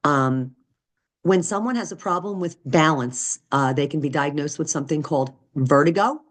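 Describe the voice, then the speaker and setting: Deep-voiced woman teaching nursing. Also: normal speed. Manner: conversational, frequent "right?" and "okay?" check-ins. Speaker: nursing instructor. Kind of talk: recorded lecture.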